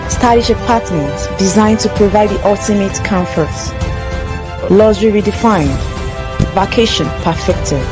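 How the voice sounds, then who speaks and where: An announcer delivers a polished promotional read. The pitch sits mid-range. Clear background music plays under the voice. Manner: smooth, promotional. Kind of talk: scripted radio ad read. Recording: voice-over with music bed.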